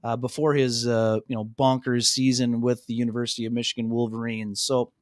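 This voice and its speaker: A man's voice: mid-range voice, flat delivery, fairly quick, dry recording, clean studio sound. A man co-hosting a hockey radio show, talking casually with many "you know" fillers and calling his co-hosts "fellas". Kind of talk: casual sports talk radio chat. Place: radio studio.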